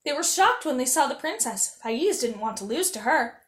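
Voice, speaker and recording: A woman reading a children's story aloud as a storyteller, with animated, expressive delivery. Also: high voice, moderate pace, reverberant. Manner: animated, acting out dialogue. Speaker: storyteller reading as a princess character.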